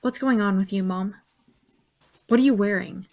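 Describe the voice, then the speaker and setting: A woman's voice: medium pitch, moderate pace, a little mellow, natural voice. An actress playing a young woman, the sullen and sarcastic daughter of a scientist, in an audio drama. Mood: sulky.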